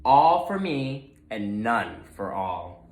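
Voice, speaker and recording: A man voicing a greedy, impatient, excitable storybook pig who exclaims and gloats, in a roomy recording. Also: lowish voice, slow pace, exaggerated voice. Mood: greedy determination.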